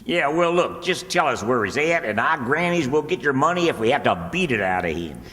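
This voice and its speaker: A man's voice: mid-range voice, big pitch swings, fairly quick, sharp tone. Guy acting as flustered southern country bill collector, pushy, voice jumps all over, radio comedy, big echoey hall. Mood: exasperated. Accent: rural Southern US. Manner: folksy, flustered, insistent. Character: exasperated, money-minded country bill collector man. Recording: echoey hall with long reverb.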